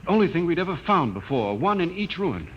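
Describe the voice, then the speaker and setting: An actor playing a musing, earnest expedition scientist fascinated by alien ruins in a 1950s radio drama. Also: male voice, fairly high pitch, sing-song, quick pace. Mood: pondering. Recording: dull, faintly noisy 1950s radio recording.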